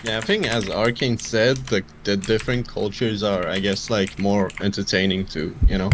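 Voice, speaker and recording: A man with a low-set voice chats as a streamer guest. He talks easily and loosely, leaning on slang and casual tags. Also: steady tone, normal speed, background noise. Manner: laid-back, slangy. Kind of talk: casual chat.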